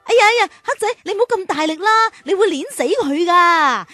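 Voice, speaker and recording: A woman playing a kindly big-sister guide in a children's radio drama, reassuring, expressive and fond of explaining things. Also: very high voice, brisk, no echo, exaggerated voice. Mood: chiding.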